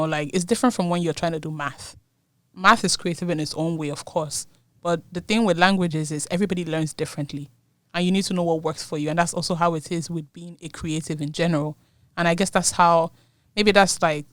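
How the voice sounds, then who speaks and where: A woman's voice: fairly low pitch, no echo. A young woman in her twenties from Nigeria, speaking Yoruba natively, talking as a guest in a casual interview and laughing often between phrases. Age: 20s. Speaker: guest, university student.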